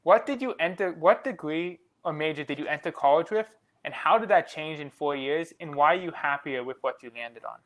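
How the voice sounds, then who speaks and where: A man's voice: a little high, lively intonation, fairly bright tone, poor audio. Earnest young man, lightly raised voice. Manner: earnest and reflective, quick run-on sentences. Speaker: podcast co-host. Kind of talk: casual podcast conversation.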